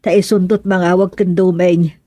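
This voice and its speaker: A woman's voice: lowish voice, little pitch movement, normal speed, warm tone, dry recording, natural voice. Woman acting a fretful aunt, dry close sound. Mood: anxious. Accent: Ilocano. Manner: worried, sighing, plaintive exclamations. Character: fretful older aunt anxious over her ailing husband. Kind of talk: Ilocano radio drama acting.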